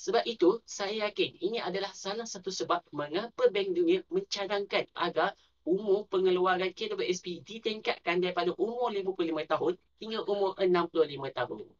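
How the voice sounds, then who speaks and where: A man with a Malaysian Malay accent, a personal finance YouTuber, giving a scripted explainer. He reads figures and statistics in a steady, deliberate way. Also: sits high, lo-fi sound.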